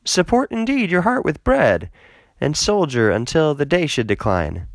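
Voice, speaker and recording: Man voicing an insistent, coaxing father-in-law, raised pitch. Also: very animated, unhurried, dry recording, clean studio sound. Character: hospitable, insistent father-in-law urging his guest to stay. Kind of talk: Bible storytelling.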